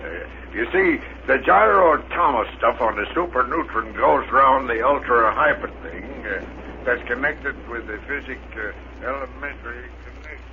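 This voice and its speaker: A man's voice: mid-range voice, moderate pace, lightly acted. An actor playing a bumbling, good-natured rocket crewman who bluffs in flustered, folksy, ungrammatical stammers, in 1930s radio serial style. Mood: muddled explaining. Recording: noisy, narrow-band 1930s radio recording.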